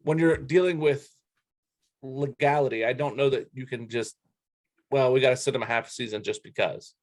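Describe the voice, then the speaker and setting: A man's voice: mid-range voice, normal speed, no echo. A man co-hosting a sports show in casual, bantering conversation.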